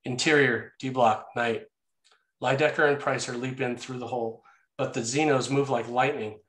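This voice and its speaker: Guy reading screenplay action lines as narrator, normal pitch, echoey room. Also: steady tone, normal speed, fairly bright tone.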